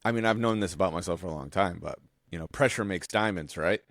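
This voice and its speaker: A man's voice: sits low, quick pace, a bit bright, dry recording, studio quality. An American man chatting casually as a podcast host, explaining things in an informal way. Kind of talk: casual chat.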